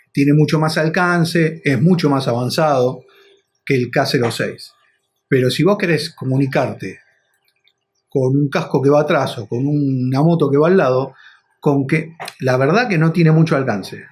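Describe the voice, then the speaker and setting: A man's voice: a little high, unhurried, a little mellow, husky. A man with a Rioplatense Argentine accent, talking casually and rambling a little as he explains things as a motorcycle vlogger.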